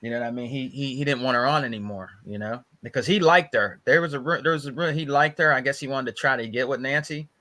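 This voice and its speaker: A man's voice: medium pitch, quick pace, hardly any pauses, pushed and bright. A man chatting casually and telling stories as a podcast co-host, repeating phrases for emphasis and often saying bro. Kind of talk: casual chat, gossiping and storytelling.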